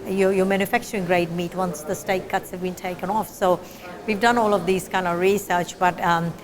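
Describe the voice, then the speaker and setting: A woman's voice: on the low side, little pitch movement, moderate pace, a crowd in the background. A middle-aged woman from Fiji, a meat scientist, explaining her research in an interview with frequent fillers and restarts. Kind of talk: interview answers.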